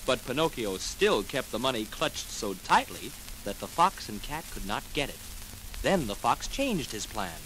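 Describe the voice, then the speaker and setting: Lively vintage male storyteller. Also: mid-range voice, measured pace, a little hiss or hum, low-quality recording. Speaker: narrator. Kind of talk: 1940s children's storytelling. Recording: old 78 rpm record with music.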